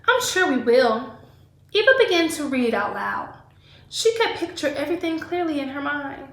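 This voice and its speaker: Female teacher, fairly high voice, expressive storytime delivery aimed at children, unhurried, recorded in an echoey room. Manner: deliberate, animated storybook delivery, addressing children directly. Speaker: teacher reading aloud to pupils. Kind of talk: expressive read-aloud with teaching asides.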